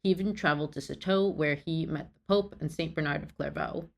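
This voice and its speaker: A woman with a low-pitched voice reads a prepared history lesson. She speaks earnestly and drops in small apologetic asides. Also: brisk, creaky. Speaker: history video creator. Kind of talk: scripted educational narration.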